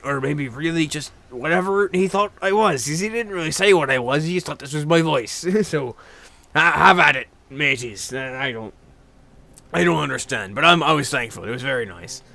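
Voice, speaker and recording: A young man casually telling rambling anecdotes in a halting way, repeating words and often chuckling. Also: mid-range voice, pushed and bright, slight background noise.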